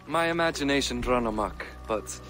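A man's voice: medium pitch, moderate pace, fairly bright tone, faint music behind, lightly acted. An actor playing an uneasy, good-natured middle-aged Viking king in a video game cutscene. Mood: fond, nostalgic.

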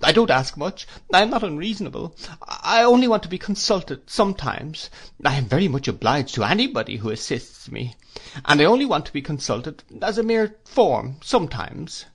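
A man's voice: mid-range voice, very animated, fast, gravelly, hardly any pauses, a bit bright, breathy edge, full character voice. A man voicing a timid, tearful young wife who pleads plaintively and falters, in a classic novel reading. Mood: tearful, hurt. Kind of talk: classic novel read aloud.

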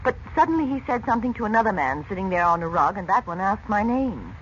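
A woman's voice: mid-range voice, sing-song, fast talker, a bit bright. An actress playing a weary, refined, kindly mother in a 1930s radio serial. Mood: earnest, shaken. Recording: dull, noisy 1930s radio recording.

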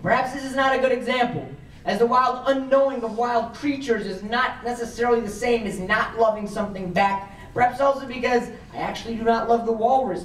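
A man performing spoken word poetry to a live audience with theatrical, emphatic delivery and drawn-out phrases. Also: very high-pitched. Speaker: poet performing on stage. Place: medium-sized indoor room with seated audience. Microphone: far camera in the audience. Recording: roomy, distant audience recording with faint noise.

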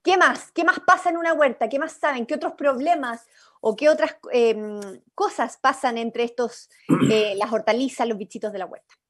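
A young Chilean woman speaks in a high voice as she runs an informal online workshop. She is encouraging and inviting, guiding the group through a video-call microphone. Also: normal speed, fairly bright tone. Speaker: workshop facilitator. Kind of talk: informal guided workshop talk. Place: indoor room, on a video call. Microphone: video-call computer microphone.

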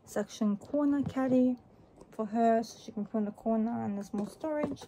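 A woman's voice: fairly high pitch, moderate pace, dark tone, rough recording. A young adult woman, chatting casually and offhandedly as a vlogger in a small room, rambling a little. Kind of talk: casual haul vlog chat. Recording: faint background noise.

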